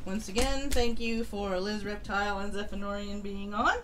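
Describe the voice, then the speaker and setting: A woman's voice: medium pitch, steady tone, moderate pace. A woman hosting a casual live stream, reading announcements and chatting informally. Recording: noisy home livestream audio.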